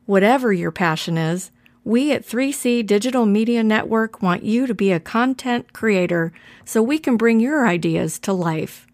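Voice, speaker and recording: A woman's voice: medium pitch, lively intonation, normal speed, darkish tone, no room sound. A woman reading a scripted promotional spot in an upbeat sales tone. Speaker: ad announcer. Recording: dry with faint music behind.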